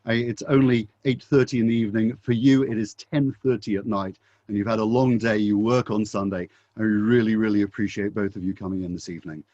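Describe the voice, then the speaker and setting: Low-voiced man moderating, dry dull sound. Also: steady tone, fairly quick, mellow, no room sound. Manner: courteous, appreciative. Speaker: rabbi, panel moderator. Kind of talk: moderating a panel.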